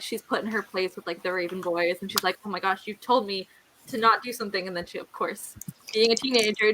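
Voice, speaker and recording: A woman hosting a casual live book discussion, giggly and animated as she reacts. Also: a little high, a little hiss or hum, rough recording. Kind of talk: casual group chat.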